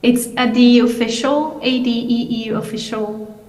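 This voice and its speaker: A woman from Sweden, a singer-songwriter guest, talking over a video call in a relaxed, hesitant way with many fillers. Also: fairly high pitch, moderate pace, a little hiss or hum. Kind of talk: remote interview.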